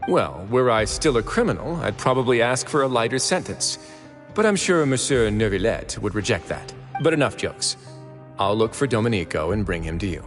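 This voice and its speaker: A wry duke who runs a fortress prison in a video game. He speaks in a low, relaxed voice with a dry, sardonic edge. Game music plays behind him. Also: normal speed.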